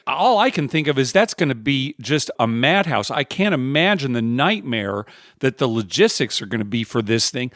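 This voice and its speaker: A man's voice: mid-range voice, very animated, moderate pace, fairly bright tone, dry recording, clean studio sound. A man hosting a podcast in a casual, chatty style. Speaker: podcast host. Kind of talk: casual chat.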